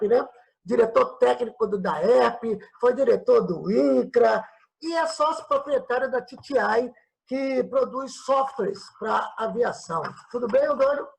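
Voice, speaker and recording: A man's voice: very high voice, vocal fry, husky, poor audio. A man with a Brazilian accent from São Paulo state, hosting a casual, friendly talk-show interview. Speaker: host of an online political and cultural talk show.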